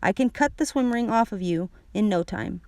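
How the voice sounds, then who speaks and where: A woman's voice: medium pitch, lively intonation, moderate pace, a little mellow, dry recording, close to an everyday voice. A woman voicing a firm, practical mother in a children's audiobook, explaining things plainly.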